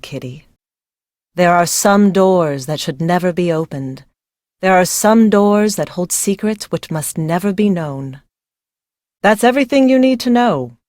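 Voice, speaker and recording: A woman's voice: lowish voice, a little mellow, dry recording. A young woman playing a secretive, fearful young bride in a video game, speaking in a weary, brooding, dramatic way.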